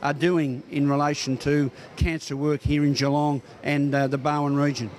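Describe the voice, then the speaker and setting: A man with an Australian accent working as a local TV news interviewer, phrasing his questions in a halting, hesitant way. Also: on the high side, flat delivery, darkish tone.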